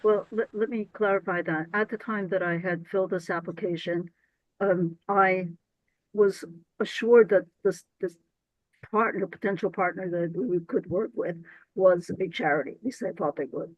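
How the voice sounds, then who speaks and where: Woman applicant, scratchy hoarse voice, earnest, dry close mic. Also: fairly low pitch, dry recording, lo-fi sound. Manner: earnest, self-correcting, restarts phrases. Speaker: grant applicant presenting to council. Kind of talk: explaining her application at a council meeting.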